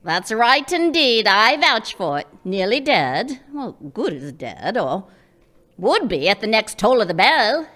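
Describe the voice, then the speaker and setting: A woman's voice: fairly high pitch, sing-song, a little slow, a bit bright. A woman playing a brash greedy charwoman. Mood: brash, scornful. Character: coarse greedy charwoman selling a dead man's things. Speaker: radio play actor. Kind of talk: live radio play acting.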